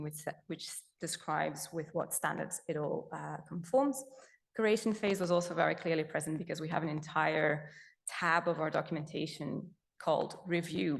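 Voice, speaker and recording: Low-voiced woman presenting at a conference. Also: gravelly. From Belgium. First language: Dutch. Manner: chatty, self-deprecating, frequent fillers and self-corrections. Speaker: repository manager.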